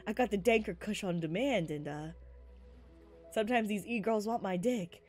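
A woman's voice: medium pitch, normal speed, faint music behind, voice pushed down, close to an everyday voice. A woman voicing a smug, laid-back stoner ladies' man in a game roleplay, drawling and unbothered. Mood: smug, calm.

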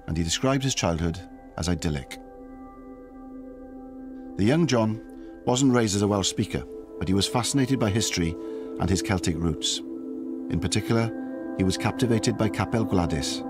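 A very deep-voiced man narrates a documentary with calm, measured pacing. Clear music sits under his voice throughout. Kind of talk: scripted narration.

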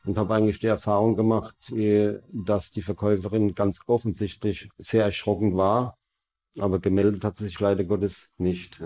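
A man speaks as an investigator in a documentary interview. His voice is fairly low and very even, and he feels his way through sentences, often rephrasing them. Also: normal speed.

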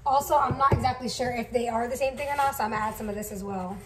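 A woman's voice: on the high side, rapid-fire. A young woman chatting casually while she cooks in a home kitchen, rambling with lots of fillers. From Somali family. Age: young adult. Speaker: vlogger. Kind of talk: casual cooking vlog chat. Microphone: camera on counter, distant. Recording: distant camera mic, noisy.

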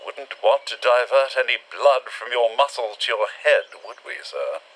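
An actor playing a dry, long-suffering robot butler in a radio serial, formal, deferential and quietly sarcastic. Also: male voice, medium pitch, lively intonation, normal speed. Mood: drily deferential. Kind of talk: retro radio serial acting.

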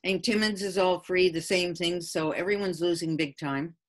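A woman's voice: fairly low pitch, fairly even intonation, normal speed. A woman town councillor talking informally on a video call, with hesitant, loosely structured phrasing. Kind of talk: informal meeting discussion. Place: remote video call from a room.

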